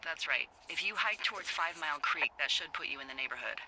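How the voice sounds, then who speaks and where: A woman's voice: low-pitched, expressive, quick pace, background noise. A woman playing a wry, teasing American fire lookout in a naturalistic video game, chatty and sardonic, heard over a two-way radio. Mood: helpful, easygoing.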